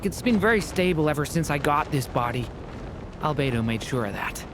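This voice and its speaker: A woman voicing a gentle, polite, slightly shy and earnest young boy in a video game, with a soft, hesitant manner. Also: sits low, lively intonation, fairly quick, noisy background. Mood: apologetic, reassuring. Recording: music behind the voice.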